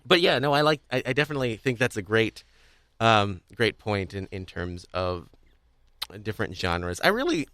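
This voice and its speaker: Low-voiced man, rambling and halting, dry sound. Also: very animated, bright, forceful tone, dry recording. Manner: rambling, halting, repeats words mid-thought. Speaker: podcast host. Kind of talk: casual interview chat about audio drama.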